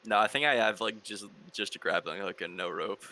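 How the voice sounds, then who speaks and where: A man's voice: lowish voice, a little fast, sharp tone. A man chatting casually as a player in a tabletop roleplaying game.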